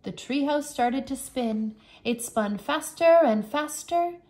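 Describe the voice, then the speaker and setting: A woman reads a children's book aloud as a teacher would. Her voice is fairly high and her pace is very slow. She narrates carefully, with expressive storytelling. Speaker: English teacher reading aloud.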